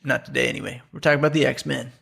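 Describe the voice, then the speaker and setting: A man hosting a casual podcast chat, talking in a rambling, halting way. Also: medium pitch, steady tone, creaky, fairly bright tone, breathy edge. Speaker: podcast host.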